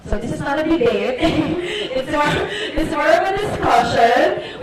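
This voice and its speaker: A woman moderating a panel discussion at an awards event, upbeat and chatty with frequent laughter. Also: fairly high pitch. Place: large events hall. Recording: echoey hall from far off, loud crowd.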